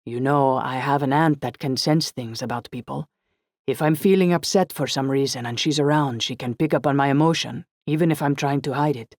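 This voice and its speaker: A narrator voicing a warm, attentive young Italian man with an Italian accent and a gentle, inquiring manner. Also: mid-range voice, fairly quick, dry recording, clean studio sound, close to an everyday voice. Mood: thoughtful.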